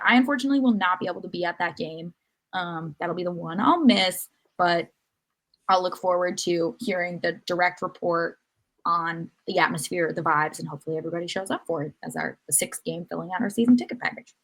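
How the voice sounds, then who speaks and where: A woman's voice: lowish voice, fairly quick, a bit bright. Enthusiastic woman hosting a sports podcast. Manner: enthusiastic, chatty. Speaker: podcast host. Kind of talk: casual sports podcast chat.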